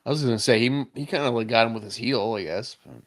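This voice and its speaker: A man co-hosting a podcast, reacting to an old wrestling match with excitable jokes and casual swearing. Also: mid-range voice, fast talker. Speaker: podcast co-host. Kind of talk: casual wrestling commentary chat.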